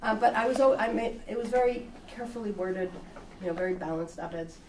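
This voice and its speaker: A woman's voice: medium pitch, fairly quick, a little mellow. A woman giving a public talk and answering questions, wry and conversational. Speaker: invited speaker. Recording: echoey room, distant mic, noisy.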